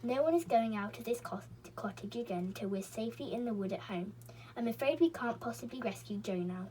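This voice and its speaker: A woman voicing a bossy, excitable male character in a storybook, speaking in a brisk, decided way. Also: on the high side, breathy edge, rough recording.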